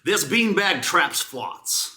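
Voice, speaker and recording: A man's voice: a little high, big pitch swings, slow pace, pushed and bright. A man with a Southern US accent co-hosting a comedy show, mixing casual banter with theatrical, mock-serious readings of product reviews.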